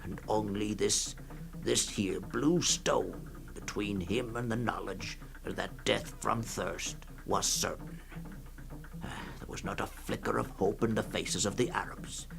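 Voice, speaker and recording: Male storyteller, 1960s radio drama, very creaky, slow, hesitant pauses, grave, faint music behind. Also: on the low side, heavily acted. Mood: grave, halting. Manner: halting, full of hesitant uhs and pauses. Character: hesitant male storyteller recounting a grim desert tale.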